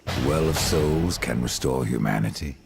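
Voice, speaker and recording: An actor playing a stern, weary, distrustful supernatural warrior in a fantasy video game, speaking tersely. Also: male voice, rumbling low, expressive, moderate pace, creaky, music in the background, full character voice.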